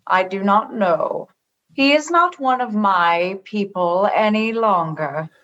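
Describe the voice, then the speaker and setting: A woman playing a stern, cryptic alien religious and political leader in a sci-fi radio comedy, speaking formally and literally, with measured delivery. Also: mid-range voice, animated, a bit bright, dull audio. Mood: cryptic, unbending.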